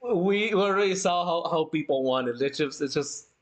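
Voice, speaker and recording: A livestream host chatting in an excited, gushing, giggly way while reacting to a game. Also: medium pitch, normal speed. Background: game music.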